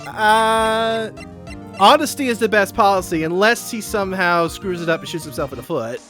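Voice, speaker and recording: A man's voice: high voice, moderate pace, sharp tone, faint music behind. A man hosting a let's play, chatting casually about the game as he goes. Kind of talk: casual gameplay chat.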